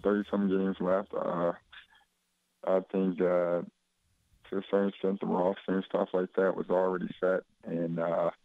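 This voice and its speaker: Low flat-voiced young man on phone. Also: moderate pace, dry recording. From Chicago, USA. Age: 20s. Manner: laid-back, rambling with many fillers. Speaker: basketball player guest. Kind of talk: casual phone interview. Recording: dull phone line.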